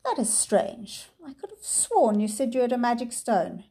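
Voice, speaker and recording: A sinister male professor, falsely polite and then menacing, his voice raised high above the narration with a gravelly edge, as a woman reading a storybook puts him on. He shifts from pleasant talk to cold threats. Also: sing-song, moderate pace. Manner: pleasant tone turning to threats and shouting.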